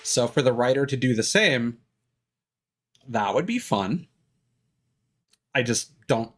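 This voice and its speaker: Man, mid-range voice, game streamer, casual live commentary, halting and rambling, game music in background. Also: medium pitch, expressive, a bit bright. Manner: rambling, halting, thinking aloud. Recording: music behind the voice.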